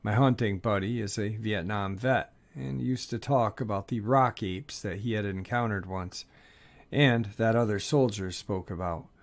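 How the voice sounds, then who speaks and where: A man narrating listeners' first-person encounter stories in a steady, measured read. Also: on the low side.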